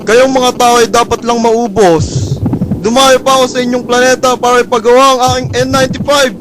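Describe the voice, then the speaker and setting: Male actor as a flamboyant alien conqueror, high voice, mocking and theatrical, noisy amateur take. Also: fairly even intonation, a little fast. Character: campy flamboyant male alien invader, boastful. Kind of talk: amateur radio drama acting.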